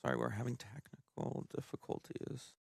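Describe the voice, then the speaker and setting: A man hosting a podcast while sick, with a raspy voice. He rambles and apologizes in a casual, self-deprecating way over a video call. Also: medium pitch, wildly swooping pitch, moderate pace. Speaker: podcast host. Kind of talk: casual chat.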